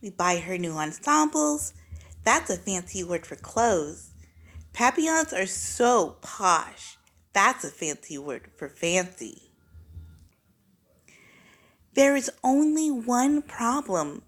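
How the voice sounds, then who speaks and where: A woman voicing an excitable young girl who loves fancy words, narrating a storybook in a dramatic, precise manner. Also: on the high side, takes it slowly, full character voice. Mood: excited, proud. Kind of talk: storybook read-aloud, first-person child narrator.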